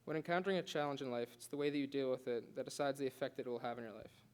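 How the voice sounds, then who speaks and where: Young male student, quick delivery, earnest speech with a light stutter. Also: medium pitch, darkish tone, clear, resonant tone. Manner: earnest, with occasional stutters and restarts. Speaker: student giving a class speech. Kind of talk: prepared speech read aloud.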